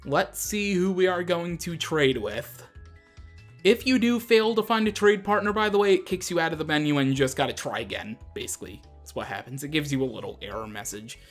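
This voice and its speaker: A man's voice: fairly high pitch, animated, fairly quick. A man doing casual, chatty gameplay commentary as the host, often poking fun at himself. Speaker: gaming show host. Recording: music behind the voice. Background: video game music.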